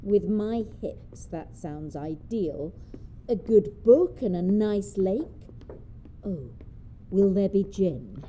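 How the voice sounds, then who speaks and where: A woman's voice: medium pitch, animated, slow pace, mellow, a little hiss or hum. An actress playing a feisty, mischievous old woman in an audio drama, her voice muffled. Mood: cheeky, wistful. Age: older.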